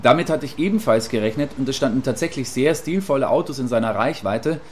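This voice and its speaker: A man's voice: mid-range voice, fast talker, close to an everyday voice. Man acting as field reporter over background noise. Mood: matter-of-fact. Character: eager, apologetic male field reporter giving eyewitness account. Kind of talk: satirical radio play as live news report.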